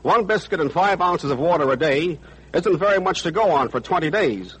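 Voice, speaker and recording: Husky, higher-voiced seaman. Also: lively intonation, moderate pace, low-quality recording. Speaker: merchant marine chief steward. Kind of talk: scripted 1940s radio interview answers.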